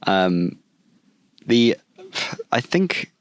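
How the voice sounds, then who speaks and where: A man's voice: mid-range voice, big pitch swings, very slow, smooth, no echo. A young man from the UK co-hosting a podcast, telling rambling stories in casual chat, with plenty of fillers. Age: young adult. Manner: laid-back, rambling storyteller with many fillers. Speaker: podcast co-host.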